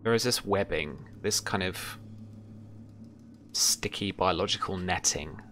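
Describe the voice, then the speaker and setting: A young man narrating a tabletop role-playing game as game master, pausing to search for his words. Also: sits low, bright, forceful tone, slight background noise. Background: background music.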